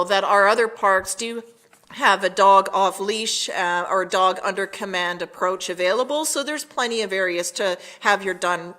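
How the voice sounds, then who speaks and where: A woman delivering a prepared public address as town mayor, measured and formal. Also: mid-range voice, bright, forceful tone.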